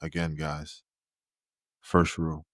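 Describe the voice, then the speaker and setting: A man's voice: a bass voice, completely flat delivery, slow, fairly bright tone, no room sound, clean studio sound. A man co-hosting a podcast in casual chat, joking around and stumbling over repeated words. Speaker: podcast co-host.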